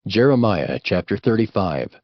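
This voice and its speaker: A man narrating scripture from a script in a solemn, expressive way. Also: fairly low pitch, moderate pace, no room sound. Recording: dry, dull audio with music behind.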